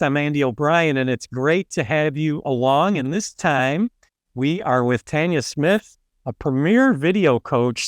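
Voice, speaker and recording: A man's voice: a little high, dry recording. Chatty male podcast host, dry close sound. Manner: chatty, many fillers and restarts. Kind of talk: scripted intro then casual interview.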